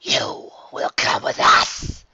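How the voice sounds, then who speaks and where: A narrator voicing a menacing cloaked monster with a harsh, commanding snarl and a voiceless rasp. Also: dry recording, muffled recording. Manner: commanding, drawn-out shouting.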